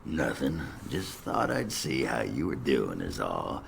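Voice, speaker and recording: An actor playing a crude, boastful dwarf warrior in a fantasy video game, with guttural, coarse, swaggering banter. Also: male voice, very deep voice, wildly swooping pitch, slow pace, a little hiss or hum, full character voice. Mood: gruff teasing. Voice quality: gravelly, guttural.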